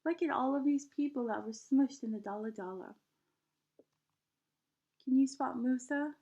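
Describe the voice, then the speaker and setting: A woman with a high-pitched voice reads to children like a teacher. Her delivery is expressive and animated, made for a picture book, and she guides her young listeners through it with simple prompts. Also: moderate pace, dull audio. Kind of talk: expressive children's read-aloud with questions to listeners.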